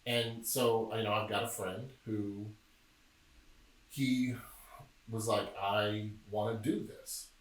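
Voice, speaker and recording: Man, middle-aged, from memphis, low voice, relaxed storyteller, echoey room. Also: takes it slowly, pauses often. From Memphis, Tennessee. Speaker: podcast guest, film producer. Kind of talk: casual interview chat. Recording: echoey room, distant mic.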